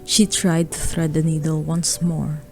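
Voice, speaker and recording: A woman narrating a radio drama, reading scripted literary prose in a measured way. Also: low voice, level tone, loud music behind the voice.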